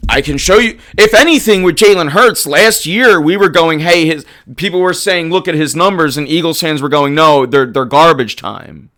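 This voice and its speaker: A man hosting a live sports talk stream, casually answering chat and arguing football. His voice is hoarse, and he gets emphatic when he runs through stats. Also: on the high side, normal speed, hardly any pauses. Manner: animated and emphatic, rattling off stats. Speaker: livestream sports show host.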